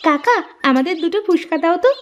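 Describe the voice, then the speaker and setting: High, animated young housewife voiced by a woman. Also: quick pace, dull audio, slight background noise. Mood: eager. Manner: complaining, expressive, sarcastic. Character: put-upon young daughters-in-law, sharp-tongued and aggrieved. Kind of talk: cartoon voice acting, fairy-tale drama.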